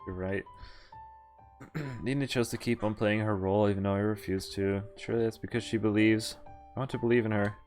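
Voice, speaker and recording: A man's voice: fairly low pitch, steady tone, a little fast, darkish tone, faint music behind. A man streaming a visual novel, reading the text aloud and breaking off into casual asides. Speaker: game streamer.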